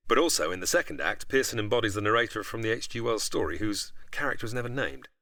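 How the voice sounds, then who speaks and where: A man's voice: mid-range voice, vocal fry, sharp tone, dry recording. A man with a British accent, a podcast guest giving scripted explanations in a narrating style.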